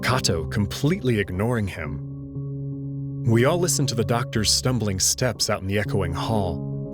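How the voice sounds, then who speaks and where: A man narrating a visual novel as a scripted, dramatic read-aloud. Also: lowish voice. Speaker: host and narrator.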